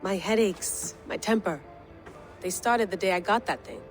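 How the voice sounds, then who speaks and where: An actress playing a troubled, guarded field operative in a video game, earnest and uneasy, speaking hesitantly. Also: female voice, medium pitch, moderate pace, natural voice. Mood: defensive, troubled. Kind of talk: naturalistic video game acting. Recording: music playing behind the voice.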